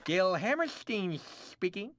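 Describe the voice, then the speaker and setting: A man's voice: high voice, big pitch swings, noisy background. A man voicing a slick, frantic cartoon casting director with a theatrical, easily exasperated manner, for a video game. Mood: nervous, deferential. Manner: theatrical, exasperated, fast-switching boss.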